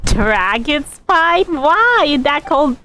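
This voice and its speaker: A woman game streamer giving casual, halting live commentary, often searching for words. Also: high-pitched, big pitch swings, pushed and bright, slight background noise.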